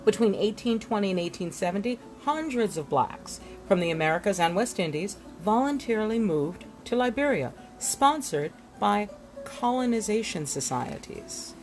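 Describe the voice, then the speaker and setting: A woman reading a history book aloud in an expressive, storytelling way, with deliberate dramatic pauses and emphasis. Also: medium pitch, soft background music. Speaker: reader presenting an illustrated history book. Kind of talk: expressive read-aloud narration with asides.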